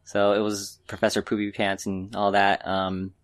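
Man, low pitch, casual podcast chat, hesitant, meandering delivery. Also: completely flat delivery, normal speed. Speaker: podcast co-host. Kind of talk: casual chat, informal film review.

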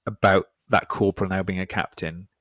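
A man's voice: sits low, level tone, brisk, a bit bright, no room sound. A man, a preacher, talking over his sermon in a casual church podcast chat, thoughtful and self-deprecating, often repeating words.

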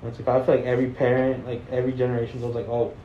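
A man's voice: medium pitch, monotone, very fast. A young man co-hosting a casual podcast, rambling in slangy talk and leaning on stock filler phrases. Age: young adult. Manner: rambling, leans on filler "what's it called". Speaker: podcast co-host. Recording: echoey, slightly muffled, faint noise.

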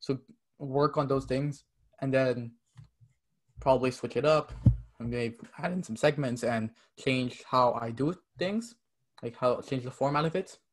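A man's voice: medium pitch, unhurried, poor audio. Rambling young man from Canada. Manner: rambling, many "like" fillers, acts out quoted texts. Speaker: podcast guest. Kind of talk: casual interview chat.

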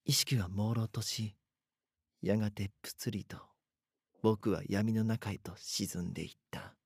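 A man's voice: fairly low pitch, fairly even intonation, unhurried, creaky, breathy edge, dry recording, clean studio sound, exaggerated voice. A man voice-acting a timid, frightened young man who narrates in the first person in an audio drama, hesitant and uneasy. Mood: fading, dazed.

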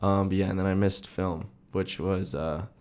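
A man's voice: low-pitched, normal speed. A young man co-hosting a podcast, telling stories in a casual, slangy way full of "dude" and "like". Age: young adult. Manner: slangy, animated retelling with heavy "dude" and "like". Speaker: podcast co-host. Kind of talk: casual chat, storytelling.

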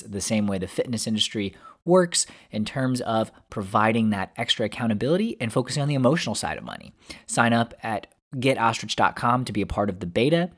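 A man hosting a podcast, reading an upbeat introduction and then chatting enthusiastically with his guest. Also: fairly low pitch. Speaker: podcast host. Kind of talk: casual podcast interview.

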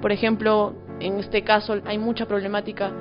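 A woman's voice: mid-range voice, little pitch movement, normal speed, muffled recording, over music. Peruvian woman singer answering in an interview. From Peru. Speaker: interview guest, singer-songwriter.

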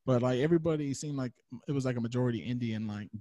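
A man's voice: mid-range voice, rapid-fire, warm tone, no room sound. Male host, blunt slangy conversational delivery, free with profanity, close dry sound. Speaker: podcast host. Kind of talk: casual chat, slangy interview.

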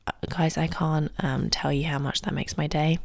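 A woman talks softly and calmly, her voice low with a gravelly fry, often dropping close to a whisper. She hosts a solo podcast from a quiet home early in the morning, and the sound is dry and close. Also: normal speed, no echo. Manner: soft, calm and quiet, often near a whisper. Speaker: podcast host and ballet teacher. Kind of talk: solo podcast advice talk.

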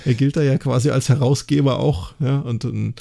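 A man with a gravelly edge and a soft, dark tone talks as a podcast host. He recaps things in a loose, conversational way, frequently checking for agreement with short tag questions. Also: medium pitch, steady tone, normal speed. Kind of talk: casual discussion and recap of an audio drama.

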